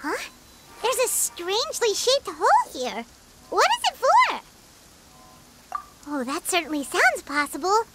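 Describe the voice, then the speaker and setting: A woman voicing a tiny, excitable, timid fairy companion in a video game, with a squeaky childlike voice and an exclamatory way of talking. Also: unhurried, pauses often, heavy background noise, heavily acted. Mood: puzzled, nervous. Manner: exclamatory, refers to self in third person.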